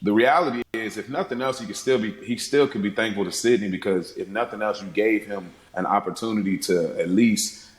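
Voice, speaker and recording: A man with a fairly low voice, heard in a very roomy, echoing space. He is a podcast guest discussing film in an informal, rambling way. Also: fairly quick.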